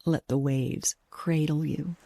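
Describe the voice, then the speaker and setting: A woman with a soft, breathy, hushed voice gently and soothingly narrating a guided sleep meditation, unhurried throughout. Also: sits low, steady tone, a little hiss or hum.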